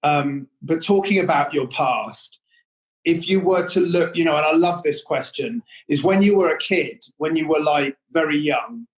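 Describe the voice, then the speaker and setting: A man's voice: fairly high pitch, normal speed, poor audio. A man hosting an interview, rambling with many fillers and restarts. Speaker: interview host. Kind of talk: hesitant conversational interview.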